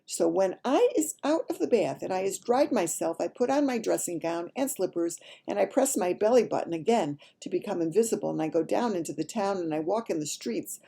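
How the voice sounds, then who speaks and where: A woman voicing an excitable, mischievous young boy telling his dream in gleeful, ungrammatical storybook speech. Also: lowish voice, fairly quick, creaky, few pauses, slightly rough, voice pushed up, exaggerated voice. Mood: gleeful, boastful.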